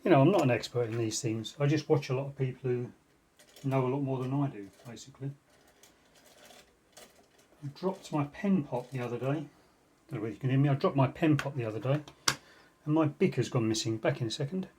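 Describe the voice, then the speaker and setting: A man's voice: mid-range voice, brisk, pauses often, a little mellow, a little hiss or hum. A man hosting an unboxing video with casual, unscripted commentary and dry, self-deprecating asides. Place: indoors at a tabletop. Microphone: phone filming the tabletop.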